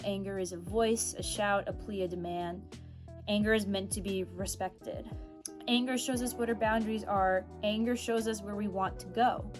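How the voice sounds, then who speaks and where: An expressive young woman talks through her reading in a loose, rambling way, reacting big and swearing now and then. Her voice sits in an ordinary middle range for a woman. Music plays clearly underneath her. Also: mid-range voice. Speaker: book club vlogger. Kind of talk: casual chat.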